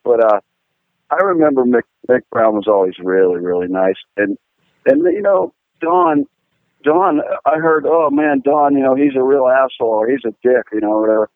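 A man from Pittsburgh, a guitar-playing guest, telling rambling, animated stories with lots of fillers. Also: medium pitch, moderate pace, gravelly, no room sound. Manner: rambling, animated, fillers, laughs at own jokes. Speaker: guest musician, guitar player. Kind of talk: casual storytelling interview. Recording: tinny phone-line sound, dry.

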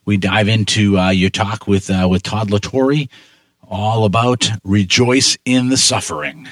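A man's voice: fairly low pitch, fairly even intonation, a little slow, dry recording, studio quality. A man co-hosting a podcast, chatting in an easygoing, frank way with crude slang. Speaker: podcast co-host. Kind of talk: casual chat.

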